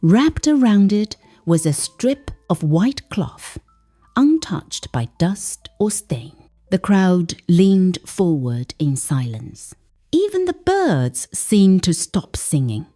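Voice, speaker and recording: A woman's voice: fairly low pitch, very animated, slow, dark tone, soft background music. A woman narrating a folktale in a dramatic, scripted storytelling style.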